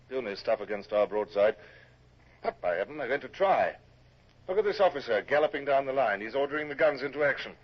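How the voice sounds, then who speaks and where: A man's voice: mid-range voice, animated, fairly quick, lightly acted. Man acting a commanding British naval captain, vintage radio. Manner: clipped, authoritative, formal. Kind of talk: radio drama acting and first-person narration. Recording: dull, noisy vintage radio recording.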